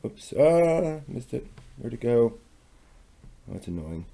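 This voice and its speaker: A man casually thinking aloud as he works on a craft, muttering to himself in short, unhurried phrases. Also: medium pitch, big pitch swings, creaky, mellow. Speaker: maker hosting a craft workshop video.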